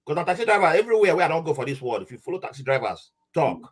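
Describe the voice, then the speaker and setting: A man's voice: fairly high pitch, very fast, slightly rough. A man with a Nigerian accent, casually telling a story as a podcast guest, slipping into Pidgin and acting out voices. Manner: animated, mixes Nigerian Pidgin, acts out quoted voices.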